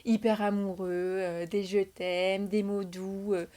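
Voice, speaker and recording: Relaxed woman telling a work anecdote. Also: medium pitch, slow pace. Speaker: real estate agent podcast co-host. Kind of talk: casual storytelling chat.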